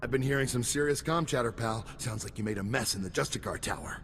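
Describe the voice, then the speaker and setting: An actor playing an excitable, folksy male reporter in a video game, chatty and colloquial with a gravelly voice. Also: medium pitch, fast, lightly acted. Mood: chummy, curious. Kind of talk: video game character dialogue. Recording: reverberant hall with background noise.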